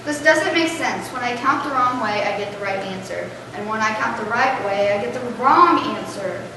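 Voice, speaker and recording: A woman's voice: a little high, lively intonation, moderate pace, gravelly, a crowd in the background. A young adolescent girl playing a stubborn schoolboy eager for recess in a school readers theater play. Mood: stubborn, protesting. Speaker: student performer in a school play. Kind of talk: readers theater stage acting. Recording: echoey hall from far off, noisy.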